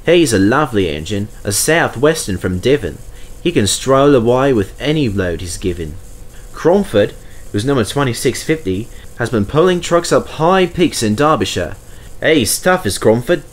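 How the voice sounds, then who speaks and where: A man's voice: mid-range voice, big pitch swings, normal speed. A man voicing a friendly vintage steam engine, chatty and earnest, in a storybook. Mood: cheerful, confiding.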